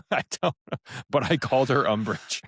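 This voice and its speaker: A man co-hosting a casual podcast, relaxed and opinionated, often restarting his sentences. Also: medium pitch, moderate pace, breathy edge, dry recording, clean studio sound. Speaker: podcast co-host.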